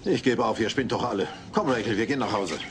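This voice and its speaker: An actor playing an exasperated, grumbling married neighbour with a gravelly voice in a German sitcom audio drama. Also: male voice, fairly low pitch, fast, lightly acted. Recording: faint noise, old cassette audio drama.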